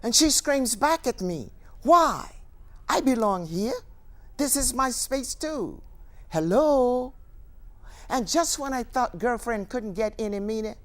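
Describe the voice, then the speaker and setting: An older actress playing a wry, grieving, defiant ageing woman in a theatrical monologue, with deliberate pauses and emphatic delivery. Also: mid-range voice, lively intonation, lightly acted. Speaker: stage actor performing a monologue.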